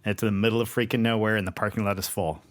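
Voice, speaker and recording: A man's voice: on the low side, steady tone, very fast, dry recording. A man playing a tabletop role-playing game, bantering casually and improvising descriptions.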